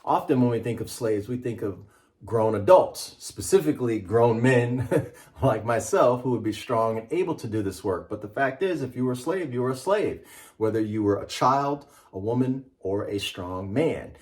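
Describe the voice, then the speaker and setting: A man's voice: mid-range voice, animated, moderate pace, darkish tone. A middle-aged man, a children's author, presenting and reading a picture book aloud with an emphatic, rhythmic delivery.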